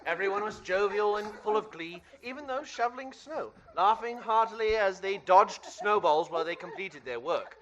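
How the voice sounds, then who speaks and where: A man narrating a scripted radio play in an expressive, theatrical storytelling style. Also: high voice, moderate pace, few pauses, poor audio.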